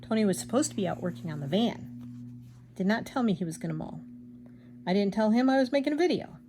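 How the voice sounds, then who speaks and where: An older woman chatting casually and rambling as she embroiders at home, self-deprecating, with a loud projecting voice. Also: mid-range voice, animated, fast, slight background noise, low-quality recording. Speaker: craft vlogger. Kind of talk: casual chat while embroidering.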